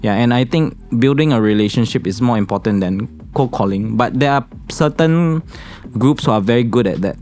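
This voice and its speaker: A young man with a Singaporean English accent, speaking calmly and evenly as a financial consultant guest on a podcast. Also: fairly quick, few pauses, soft background music. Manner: calm, low-key, says he speaks monotonously. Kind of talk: casual podcast chat.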